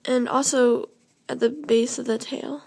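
A young woman with a slightly hoarse, fairly high voice walks through a drawing lesson step by step. Her delivery is casual and loose, full of fillers and quick self-corrections. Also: steady tone, a little mellow. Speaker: drawing tutorial host. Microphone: camera over the drawing paper, speaker off frame.

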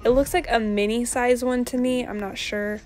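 Young woman talking thru a store haul, kinda high voice, says um a lot. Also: fairly even intonation, moderate pace. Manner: chatty, frequent ums and likes. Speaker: vlogger. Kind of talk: casual shopping haul voice-over.

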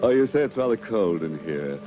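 An actor playing a ghoulishly playful, mock-courteous host, introducing an old-time mystery show with teasing, theatrical relish. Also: male voice, deep voice, sing-song, brisk. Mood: macabre, teasing. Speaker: horror anthology host. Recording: old muffled film soundtrack with music.

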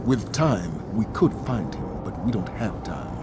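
A man playing a grave military strategist in a video game cutscene, solemn and deliberate. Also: on the low side, very animated. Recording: game music and effects behind.

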